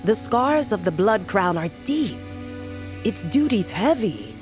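Slow-spoken, solemn old shaman woman. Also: mid-range voice, big pitch swings. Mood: solemn, steady. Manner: solemn, measured, cryptic. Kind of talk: fantasy audio drama acting. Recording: music underneath.

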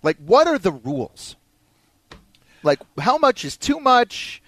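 A man's voice: extremely high pitch, sing-song, takes it slowly, fairly bright tone, no room sound. A man hosting a sports call-in radio show, chatting and taking listeners' calls.

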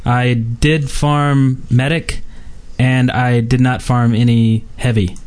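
A man's voice: medium pitch, little pitch movement, takes it slowly, darkish tone, smooth. A man hosting a podcast, chatting casually and without a script about games. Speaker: podcast host. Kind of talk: casual unscripted gaming chat.

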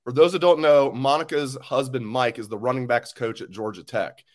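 A man's voice: medium pitch, fairly even intonation, normal speed, darkish tone. A man hosting a sports podcast interview, chatty and joking, often correcting himself mid-sentence. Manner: rambling, joking, self-correcting. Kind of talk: casual interview.

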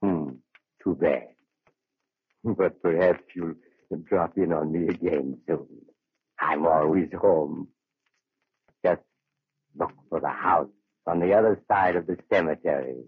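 A macabre doctor hosts a radio horror show in an extremely deep, slightly husky voice. He is wry and genially sinister, lingering over pauses, on a thin, dry vintage recording. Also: dry recording, narrow, tinny audio, exaggerated voice. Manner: slow, wry, savoring pauses. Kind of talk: old-time radio horror narration.

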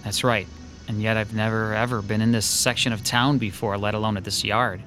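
An actor playing a hesitant, uneasy sketch artist haunted by déjà vu, in classic radio drama style. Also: male voice, on the low side, little pitch movement, a little fast, bright, forceful tone, faint music behind.

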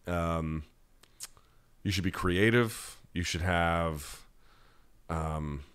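Very deep-voiced American man hosting a podcast. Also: very slow, fairly bright tone, clear, resonant tone. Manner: blunt, conversational, opinionated. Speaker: podcast host. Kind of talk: live chat, reading and answering listener questions.

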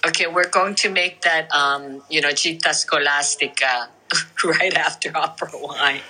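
Woman host, playful and teasing, very bright. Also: low voice, a little slow, slightly rough, rough recording. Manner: teasing, lively. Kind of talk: hosting an online audio chat.

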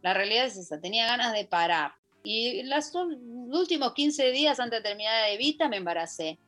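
A woman's voice: a little high, expressive, brisk, a bit bright, faint music behind. An Argentine woman, middle-aged, chatting as a guest in a radio interview about her stage career, full of fillers and restarts. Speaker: guest, musical theatre actress. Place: radio studio.

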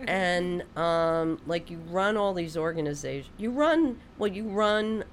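A woman's voice: medium pitch, lively intonation, takes it slowly, slight background noise. A woman hosting a radio interview in a studio, chatty and rambling, often restarting her sentences. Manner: rambling, chatty, self-deprecating, with frequent restarts. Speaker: radio host. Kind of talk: casual interview chat.

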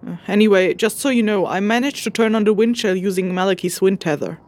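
An actress playing a practical, slightly exasperated scholar-investigator in a fantasy audio drama, speaking in a dry, matter-of-fact manner with hesitant asides. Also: female voice, medium pitch, fast, a little mellow, natural voice. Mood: methodical. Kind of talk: immersive fantasy audio drama, spoken journal.

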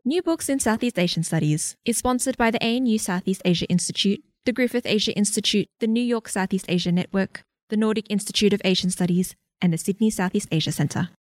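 A woman reading out a scripted list of podcast sponsors in an even manner. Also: mid-range voice, fairly quick, hardly any pauses, no room sound. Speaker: sponsor announcer. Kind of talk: scripted announcement.

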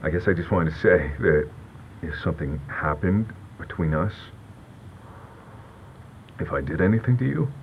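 A very deep-voiced man, shaken and halting, in a naturalistic audio drama. He speaks in a low-key way, and the sound is slightly dull with faint noise behind it. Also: expressive, gravelly. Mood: hesitant, subdued. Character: shaken man in a deserted town after a mass death. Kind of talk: audio fiction acting, naturalistic.

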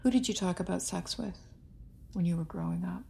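An actress in an audio drama playing a calm therapist with a measured, soft-spoken, gently challenging manner. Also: female voice, fairly low pitch, creaky, breathy edge, full character voice. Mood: calm, probing. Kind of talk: naturalistic audio drama acting.

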